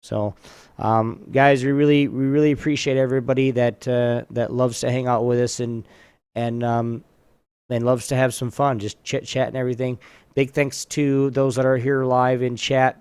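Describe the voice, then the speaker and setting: A man's voice: mid-range voice, level tone, moderate pace, a little mellow, clean tone, dry recording. A man hosting a podcast in a casual, rambling, laid-back and informal way. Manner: informal, laid-back, loses train of thought. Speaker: podcast host.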